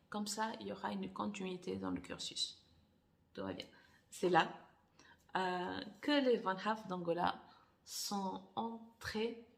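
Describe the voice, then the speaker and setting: A woman whose first language is Portuguese, talking casually and thoughtfully to camera, with many pauses, fillers and restarts. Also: mid-range voice, creaky. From Angola. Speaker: author. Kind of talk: casual personal vlog.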